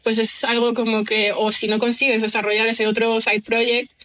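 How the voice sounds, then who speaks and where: A woman's voice: on the high side, little pitch movement, normal speed, bright, forceful tone. A middle-aged woman, a writer talking through her ideas as an interview guest, full of fillers and restarts. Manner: thinks aloud with many fillers and restarts, laughs easily. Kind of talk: reflective conversational interview.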